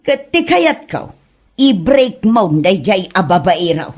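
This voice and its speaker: A woman's voice: mid-range voice, big pitch swings, takes it slowly, a little mellow. A woman with an Ilocano Filipino accent playing a stern, disapproving mother in a comedy audio drama. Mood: scolding.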